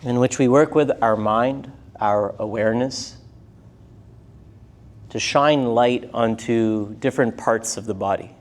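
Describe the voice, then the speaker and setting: A man teaching a live yoga and meditation class, speaking gently in short, unhurried phrases with pauses between them. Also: mid-range voice. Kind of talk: guided meditation class instruction.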